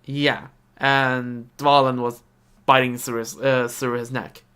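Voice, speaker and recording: A man who speaks English as a second language, streaming a game with casual, halting commentary and lore reading. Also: medium pitch, level tone, bright, forceful tone, smooth. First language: not English. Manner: halting, casual, reacting aloud.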